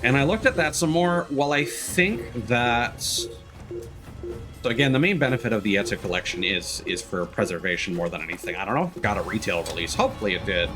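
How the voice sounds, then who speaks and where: Deep-voiced man rambling on a livestream. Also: lively intonation, over music. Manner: rambling, halting, casually sweary. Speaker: game streamer. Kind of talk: casual livestream commentary.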